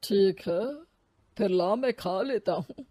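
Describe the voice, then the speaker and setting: A man playing a calm, fatherly old hermit in a moral story, speaking soothingly and solemnly. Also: high voice, takes it slowly, no echo, lo-fi sound. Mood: calm, knowing.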